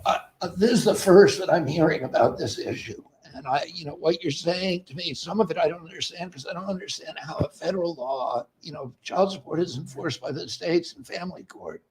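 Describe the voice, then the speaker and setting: A man with a raspy voice, speaking hesitantly in a discussion with many stops and restarts. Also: on the high side, rough recording. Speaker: interview guest. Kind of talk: conversational discussion.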